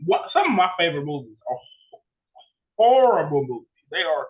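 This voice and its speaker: A man's voice: on the high side, big pitch swings, a little fast. A young man co-hosting a podcast in casual chat, exclamatory and repeating himself for emphasis, over a thin phone-like connection. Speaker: podcast co-host.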